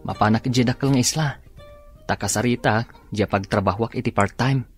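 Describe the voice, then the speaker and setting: Student son male actor: young man, rough-edged voice, earnest and eager, radio drama. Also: medium pitch, normal speed, faint music behind, natural voice. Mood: eager, reassuring.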